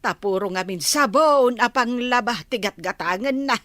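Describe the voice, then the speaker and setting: Excitable Ilocano auntie played by an actress, swooping pitch. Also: female voice, normal speed, dry recording, studio quality. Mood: scandalized. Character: excitable gossipy older village auntie running a canteen. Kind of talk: Ilocano comedy radio drama.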